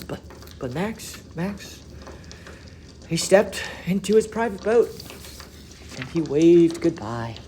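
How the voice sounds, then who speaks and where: A man reading a children's story aloud expressively, with deliberate, dramatic phrasing that swells and hushes. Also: fairly high pitch, a little hiss or hum. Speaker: storybook reader for schoolchildren.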